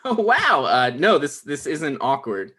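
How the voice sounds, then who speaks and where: An actor playing an anxious, resentful man in his thirties, with halting, defensive delivery in a naturalistic comedy table read. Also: a little high, big pitch swings, a bit bright, slightly rough, exaggerated voice. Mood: awkward.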